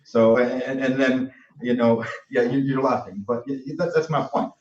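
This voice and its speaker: A man with a Russian accent, a military veteran, speaking haltingly on a panel with repeated words. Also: medium pitch, steady tone. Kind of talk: panel discussion. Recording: roomy, distant, dull sound.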